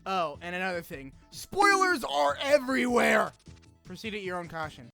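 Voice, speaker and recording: High-voiced man narrating a casual video essay. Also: normal speed, vocal fry. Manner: animated delivery, casual swearing, joking asides. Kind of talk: scripted narration with casual comic asides. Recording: music behind the voice. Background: background music.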